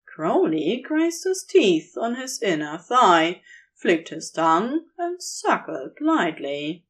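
A woman's voice: medium pitch, sing-song, slow pace. A woman narrating scripted romantic fan fiction in an intimate storytelling style.

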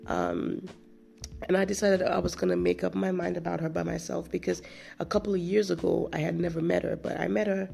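A woman's voice: lowish voice, creaky, darkish tone. A woman hosting her own podcast, still getting over a cold with a chesty cough, telling a rambling story in a casual, chatty way with frequent restarts and laughs. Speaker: podcast host.

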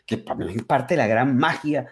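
A man's voice: mid-range voice, normal speed. A man from Venezuela, telling stories with enthusiasm and reflection as a guest in a conversational interview over a remote call. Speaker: interview guest, musician and comedian.

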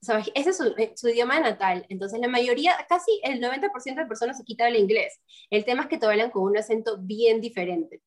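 A woman in her twenties from Peru, talking through her story in a relaxed interview. She tells it with animation and acts out the voices of the people she quotes. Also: a little high, fast. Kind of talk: casual interview chat.

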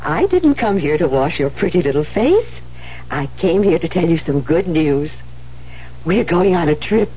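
A woman's voice: fairly low pitch, very animated, moderate pace, mellow. An actress playing a kindly, motherly older nun in a 1970s radio drama, fussing and cajoling with affection. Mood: cheerful, reassuring. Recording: dull, noisy 1970s radio recording.

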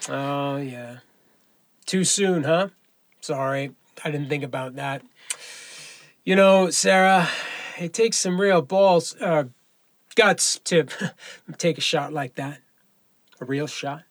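A man playing a brisk, encouraging firearms instructor in an audio drama, with clipped, commanding delivery. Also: fairly high pitch, no room sound, studio quality. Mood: coaxing, supportive. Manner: commanding, clipped instruction with coaxing pep talk.

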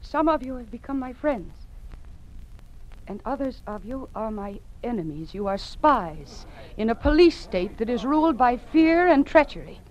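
An actress playing an earnest, idealistic woman schoolteacher in an old-time radio drama, speaking with deliberate, dramatic feeling. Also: fairly high pitch, big pitch swings, darkish tone, a little hiss or hum. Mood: urgent, impassioned. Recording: dull, band-limited old radio recording.

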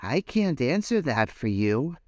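A man voicing a kindly starfish who gently gives advice in a storybook reading. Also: medium pitch, big pitch swings, unhurried, no echo, clean studio sound, voice pushed up. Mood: gentle.

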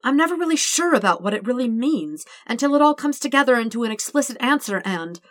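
A woman's voice: on the high side, expressive, fast, no room sound, clean studio sound, pitched above the performer's own voice, lightly acted. A woman voicing a flustered, dramatic, lovestruck young man in a story, rambling in wordy formal phrases. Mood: nervous rambling.

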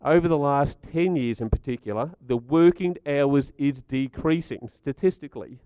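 A middle-aged man with a croaky voice, giving a chatty talk to a live audience and asking them questions. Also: a little high, normal speed, warm tone, dry recording, muffled recording. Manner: chatty, stats-driven, asks the audience questions. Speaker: speaker at a community gathering.

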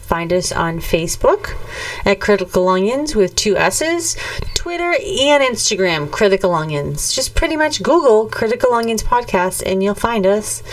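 A woman's voice: mid-range voice, sing-song, moderate pace, distant mic. A woman co-hosting a casual podcast, matter-of-fact and teasing, recorded a little away from the microphone. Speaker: podcast co-host. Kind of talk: casual chat.

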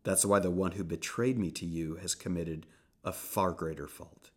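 A man voicing a calm, solemn condemned teacher, speaking quietly and in a measured way with a deep voice.

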